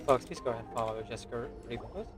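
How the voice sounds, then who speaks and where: A streamer of medium-low voice giving casual, chatty, laid-back commentary while playing a video game. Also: animated, very fast. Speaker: game livestreamer. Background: loud game music.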